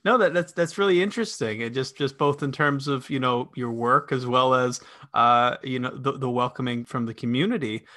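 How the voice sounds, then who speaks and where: A man's voice: mid-range voice, moderate pace, sharp tone. A man interviewing a guest, repeating words and restarting as he asks questions. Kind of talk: interview conversation.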